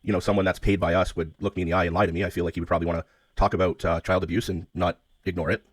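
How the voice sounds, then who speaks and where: A man making a phone call, speaking in a halting, hesitant way, polite but persistent. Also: low-pitched, little pitch movement, no room sound. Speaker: caller, self-described media interviewer. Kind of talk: phone conversation.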